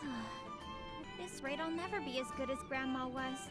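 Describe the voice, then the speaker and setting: A woman voicing a cheerful, clumsy teenage apprentice alchemist in an anime-style game, expressive and earnest. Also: high-pitched, measured pace. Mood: wistful. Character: cheerful, clumsy sixteen-year-old girl apprentice alchemist. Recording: game music playing behind the voice.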